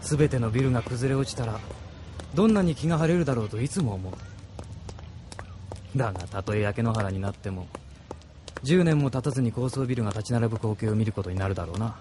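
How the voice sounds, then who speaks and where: A male actor plays a brooding, commanding officer in a Japanese audio drama. He is world-weary and dramatic, with a mid-range pitch. Outdoor city and vehicle noise sits clearly behind the voice. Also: fairly quick, long pauses, warm tone, lightly acted. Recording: noisy street and vehicle ambience behind.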